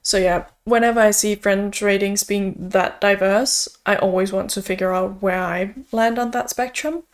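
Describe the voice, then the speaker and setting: A woman's voice: mid-range voice, steady tone, normal speed. A young woman from Denmark, a native Danish speaker, chatting casually and upbeat as a book vlogger, with frequent fillers. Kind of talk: casual chat.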